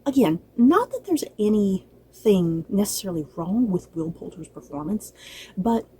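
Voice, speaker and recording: A woman's voice: medium pitch, very animated, unhurried, mellow, slight background noise. A woman giving a casual, chatty review, speaking in a gushing, emphatic and informal way. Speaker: book and drama reviewer.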